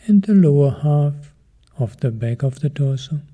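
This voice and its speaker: A man leading a guided meditation as a teacher, calm and measured, in short phrases with pauses between them. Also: fairly high pitch, husky.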